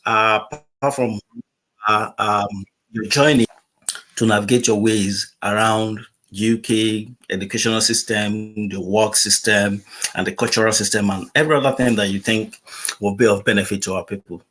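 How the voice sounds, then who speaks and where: A man hosts a conversational interview, speaking in a slightly low voice. He is chatty and laughs easily, often restarting his sentences as he goes. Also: fairly even intonation, moderate pace, a bit bright. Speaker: host.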